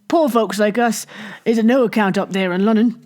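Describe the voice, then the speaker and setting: A woman voicing a doleful old male villager in his seventies with a rural English dialect and a slow, mournful drawl. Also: mid-range voice, darkish tone, lightly acted. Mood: grumbling.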